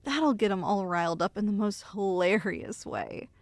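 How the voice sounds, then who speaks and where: A woman's voice: mid-range voice, lively intonation, normal speed, no room sound, close to an everyday voice. A young woman playing an affectionate, chatty girlfriend who reassures her partner in an intimate ASMR roleplay. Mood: chatty, reassuring. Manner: playful, reassuring, storytelling to one listener. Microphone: close studio mic.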